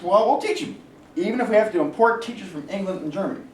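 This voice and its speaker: A man's voice: sits high, animated, quick pace, a little hiss or hum. A man reading a condescending steel-mill boss. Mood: menacing. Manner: blustering and condescending, turning oily. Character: smooth, bigoted male steel mill executive. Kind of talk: staged play reading. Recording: echoey room, mic at a distance.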